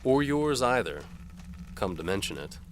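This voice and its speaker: A man's voice: fairly low pitch, big pitch swings, measured pace, a little hiss or hum, pitched above the performer's own voice. A man voicing a proud, wry medieval sheriff and swordsman in an audio drama. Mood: grudging.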